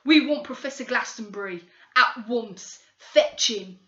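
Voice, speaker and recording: A woman voicing a gruff middle-aged male detective who speaks in blunt, impatient commands. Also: a little high, measured pace, fairly bright tone. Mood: brusque. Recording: roomy, slightly dull recording.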